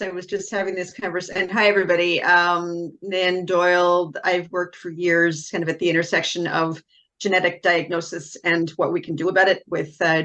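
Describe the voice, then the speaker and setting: A woman with a lowish voice giving candid, thoughtful remarks as a panelist on a video call. She speaks with a wry edge and steady delivery. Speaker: panelist, genetics expert.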